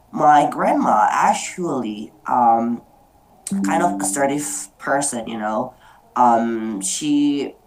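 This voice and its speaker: Woman moderator, extremely low voice, slow unhurried speech, hesitant fillers, video call. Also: a little mellow, poor audio. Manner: hesitant, many fillers and restarts. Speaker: meeting moderator. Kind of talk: online group discussion, personal storytelling.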